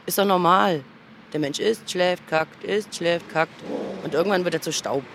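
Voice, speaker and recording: A man's voice: sits high, normal speed, vocal fry. A man rambling informally and philosophically in a blunt manner. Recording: noisy street with traffic behind.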